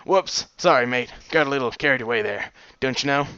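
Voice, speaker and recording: A man's voice: mid-range voice, a little fast, bright, forceful tone, smooth, no echo, dull audio, natural voice. A man voicing a jolly, burly stallion cook in a story reading, hearty and exclamatory, with a muddled mix of British, American Southern and Swedish accents. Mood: sheepish.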